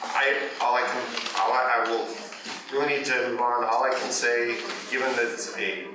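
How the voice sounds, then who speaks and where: A man chairing a school board meeting, halting with many fillers and restarts. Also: mid-range voice, fairly even intonation, noisy background. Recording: echoey room from far off, crowd.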